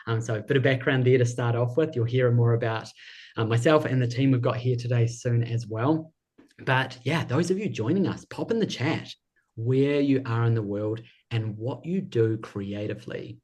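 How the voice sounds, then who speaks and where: Kiwi guy hosting a webinar over video call, super upbeat, mid pitch voice. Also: moderate pace, darkish tone. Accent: New Zealand. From New Zealand. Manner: enthusiastic, reads out chat messages. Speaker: webinar host and business coach.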